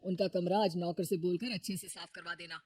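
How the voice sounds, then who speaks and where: A woman's voice: lowish voice, steady tone, a little fast, a little mellow, close to an everyday voice. A woman voicing an earnest young married man, a firm but caring son, in an acted story.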